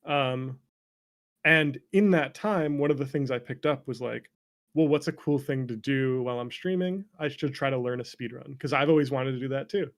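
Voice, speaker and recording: Young guy with a fry-heavy voice, chatty streamer telling stories, self-deprecating, says like a lot. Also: medium pitch, normal speed. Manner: informal, self-deprecating, peppered with "like". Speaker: interview guest, streamer and former speedrunner. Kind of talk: casual conversational storytelling.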